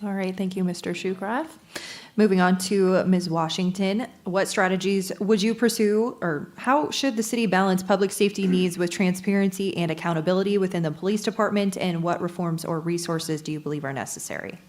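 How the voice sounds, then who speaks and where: A woman's voice: fairly low pitch, steady tone, brisk, darkish tone. A woman moderating a candidate forum, reading out questions in a formal way.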